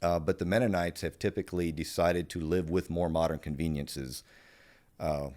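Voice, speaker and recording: Male podcast guest, Pennsylvania Dutch accent, very low voice, measured and halting delivery. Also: steady tone, darkish tone. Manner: thoughtful, halting, with frequent fillers and restarts. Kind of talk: conversational podcast interview.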